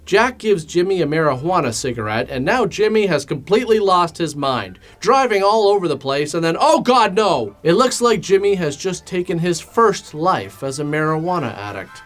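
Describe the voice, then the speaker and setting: A man's voice: high voice, big pitch swings, moderate pace, few pauses, fairly bright tone. A man narrating a comedic film recap in a sarcastic, mock-dramatic and casually crude way. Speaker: host of a film commentary show.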